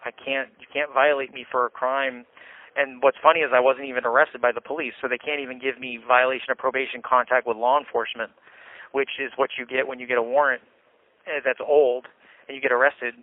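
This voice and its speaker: A man's voice: medium pitch, flat delivery, fairly quick, dry recording. A man with an American accent talking casually on a phone call from jail, venting and explaining himself insistently. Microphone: telephone handset. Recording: tinny, band-limited jail phone line.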